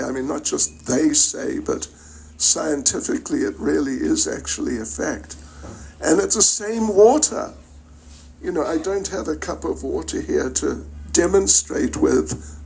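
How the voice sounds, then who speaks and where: An older man with a New Zealand accent gives an informal spiritual lecture in a halting, reflective, conversational way, checking in with his listeners. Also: a little high, creaky, a little mellow, slight background noise. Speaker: spiritual teacher giving a lecture. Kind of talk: informal religious lecture to an audience.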